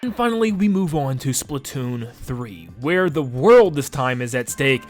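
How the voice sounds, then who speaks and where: A man giving enthusiastic video game retrospective commentary as a host, with emphatic, gushing delivery and drawn-out stressed words. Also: medium pitch, lively intonation. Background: game soundtrack music under the voice.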